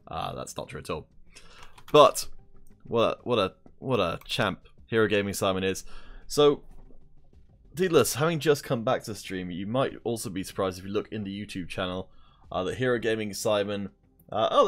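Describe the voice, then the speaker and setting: Rambling, self-deprecating male caster. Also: fairly low pitch. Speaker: esports caster. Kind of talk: casual stream commentary.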